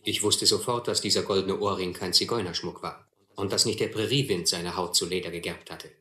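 A man's voice: low voice, fairly even intonation, fairly quick, sharp tone, roomy sound. An actor playing a grown man who wryly and reflectively narrates his vagabond youth in a German radio play. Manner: wry, reflective.

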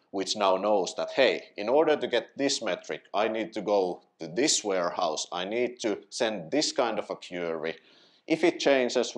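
A man in his thirties from Finland speaks at a tech meetup, his voice sitting a little low. He explains step by step with frequent fillers and self-corrections. He is picked up by a headset mic in a small event room. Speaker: conference speaker.

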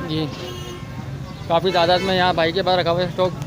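A man's voice: high voice, little pitch movement, fairly quick. A male vlogger walking through an outdoor market stall, filming on his phone and upbeat as he repeats prices to the camera. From Pakistan. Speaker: vlogger host. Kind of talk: walking market tour vlog.

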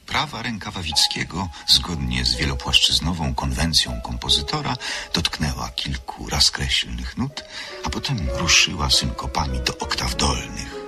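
A man narrating a radio drama in a measured, literary storytelling manner. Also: a bass voice, big pitch swings, over music.

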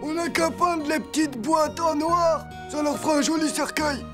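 A woman's voice: high-pitched, fairly even intonation, normal speed, lightly acted. A woman voicing an earnest, know-it-all young schoolboy in a children's cartoon, insistent and matter-of-fact. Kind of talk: French children's cartoon dubbing. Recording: music under the dialogue.

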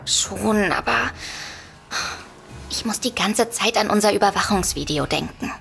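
A woman's voice: medium pitch, expressive, unhurried, creaky, fairly bright tone, faint music behind. A woman playing a frightened, worried novelist sister in an audio drama. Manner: worried, hesitant. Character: anxious, frightened sister, a novelist under threat. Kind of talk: German audio drama acting.

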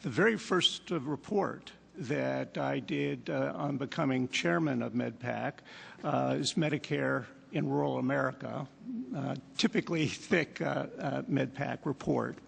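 A man testifying as a commission chairman, measured and hesitant with many fillers. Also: mid-range voice, creaky, fairly bright tone, husky, big-room sound. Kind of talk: testimony at a hearing. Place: large hearing room.

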